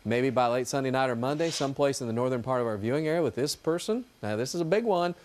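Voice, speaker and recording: A man's voice: mid-range voice, lively intonation, fast talker, a little mellow. A man giving an ad-libbed TV weather forecast in a chatty, folksy, informal way.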